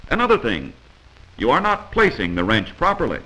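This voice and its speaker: Bright-voiced 1940s American training film narrator, male. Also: mid-range voice, huge pitch swings, moderate pace, poor audio. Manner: didactic, admonishing. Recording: old 1940s film soundtrack.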